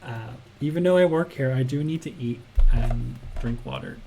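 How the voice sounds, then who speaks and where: A man's voice: mid-range voice, moderate pace, creaky, warm tone, a little hiss or hum, poor audio. A man hosting a casual game jam livestream, chatting in a friendly way with many ums and uhs and answering viewers' questions from chat. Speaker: game jam stream host.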